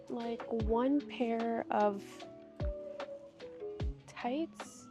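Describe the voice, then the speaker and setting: A woman in her thirties talks to a handheld camera as she wanders a mall, her voice sitting a little on the high side. She speaks in an unhurried, chatty way, slips in small giggles, and phrases things a touch formally. Store music plays clearly behind her. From Washington state, USA. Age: 30s. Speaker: vlogger. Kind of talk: casual vlog chat while shopping. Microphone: handheld gimbal camera.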